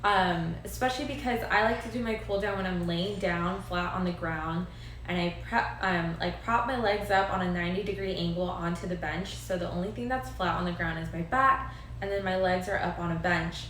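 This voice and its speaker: A woman's voice: fairly low pitch, brisk, a bit bright. A young woman hosting a live stream, chatting in an upbeat way with many fillers. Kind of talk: casual live-stream chat. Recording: very echoey room, faint noise.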